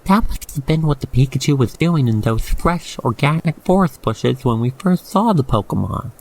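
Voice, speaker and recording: Male ASMR roleplay narrator. Medium pitch, very creaky texture, theatrical storytelling aimed straight at the listener. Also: expressive, moderate pace, a little mellow, low-quality recording.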